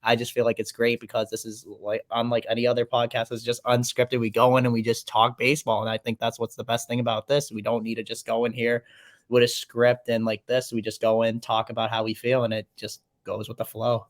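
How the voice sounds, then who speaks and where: Flat-voiced man chatting baseball. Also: medium pitch, brisk, dry recording. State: slightly raspy throat. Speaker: podcast co-host. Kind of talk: casual sports chat.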